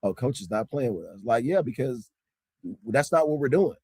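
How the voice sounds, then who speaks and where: A man, a high school basketball coach, talking casually in an interview, emphatic and repeating points for stress. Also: lively intonation, normal speed, darkish tone, husky, no room sound. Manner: strict, old-school tone.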